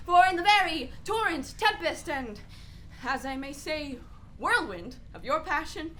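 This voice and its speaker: A bright, very high voice from a woman plays a volatile young prince. The delivery is bitter and mocking, in classical verse style for a radio play, with faint noise behind it. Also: expressive, unhurried, full character voice. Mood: instructive, exacting. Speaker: actor playing Hamlet. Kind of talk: Shakespearean radio play acting.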